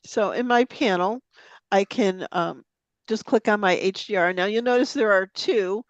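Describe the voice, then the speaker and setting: A woman's voice: medium pitch, normal speed, no room sound, highs cut off. A woman teaching a photo editing demonstration, chatty and casual with frequent ums, sniffling a little from a cold. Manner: chatty, laughs easily, lots of ums. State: has a slight cold, sniffling. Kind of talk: live software demonstration. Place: meeting room or online forum.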